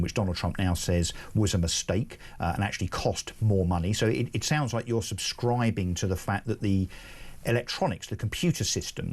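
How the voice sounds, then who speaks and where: Casting: male news presenter, fairly low voice, probing interviewer who cuts in with questions. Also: husky. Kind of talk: live broadcast news interview.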